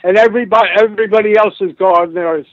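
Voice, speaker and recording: An older man, the guest on the show, answering slowly and defensively over a remote line. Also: sits high, fairly even intonation, no room sound, phone-quality sound. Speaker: interview guest. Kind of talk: call-in interview.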